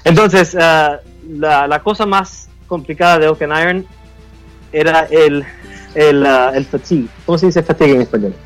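A man raised speaking Spanish who now lives mostly in English talks haltingly, pausing often and reaching for words. His voice sits a little above average in pitch. Faint music plays underneath. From United States, Cuban family. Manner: halting, searching for Spanish words, many fillers. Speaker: game designer guest. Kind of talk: casual interview chat.

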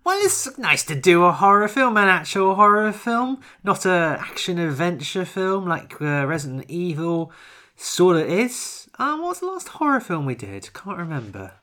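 A man's voice: high-pitched, very animated, moderate pace, bright, forceful tone. A man co-hosting a podcast in casual chat, with dry, sarcastic humor. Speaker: podcast co-host.

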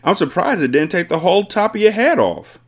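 A man voicing a scornful middle-aged mother in a storybook, shrill, exclaiming and scolding her husband. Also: sing-song, brisk, full character voice.

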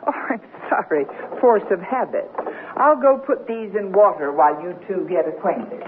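Actress as breezy newlywed wife, tinny radio recording. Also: female voice, medium pitch, expressive, moderate pace, lightly acted. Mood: breezy, affectionate. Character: Maggie, newly married artistic woman, breezy and affectionate. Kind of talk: 1970s radio drama acting. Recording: muffled, noisy old radio broadcast.

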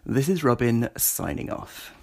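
A man's voice: mid-range voice, big pitch swings, a little slow, creaky, noisy background. A man hosting a podcast, giving an informal spoken demonstration. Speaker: podcast host. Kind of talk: informal spoken demo of a voice assistant skill.

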